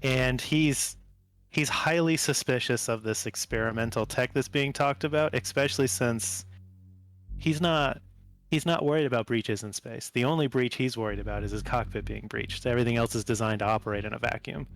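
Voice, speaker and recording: A man's voice: medium pitch, fairly even intonation, moderate pace, fairly bright tone. A man playing a tabletop game, casually explaining what his character thinks in the third person.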